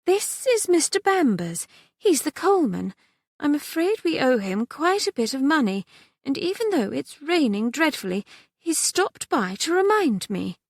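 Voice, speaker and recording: A woman voicing an anxious, faltering genteel mother in a storybook reading, her voice pitched high above her own. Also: very animated, a little slow, dry recording, studio quality, exaggerated voice. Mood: flustered.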